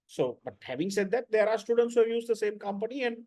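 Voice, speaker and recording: A man's voice: high-pitched, animated, fast talker, dark tone. A man lecturing students in an online class, blunt and repetitive, often checking with "right?".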